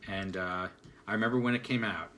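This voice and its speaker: A man in his forties on a podcast panel, telling stories in a halting, self-mocking way. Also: lowish voice, fairly even intonation, sharp tone, a little hiss or hum. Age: 40s. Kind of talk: casual chat.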